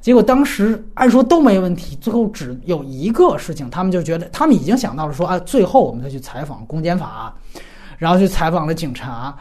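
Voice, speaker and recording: A woman's voice: on the low side, sing-song, a little fast, mellow. A woman hosting a film podcast, telling stories and giving commentary in conversation, acting out quoted dialogue as she retells.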